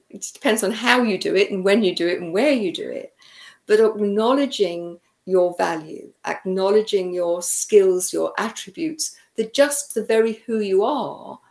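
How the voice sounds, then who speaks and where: A woman's voice: mid-range voice, darkish tone. Reflective British woman, roomy sound. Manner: thoughtful, reflective, hesitant with fillers. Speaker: host. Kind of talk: interview.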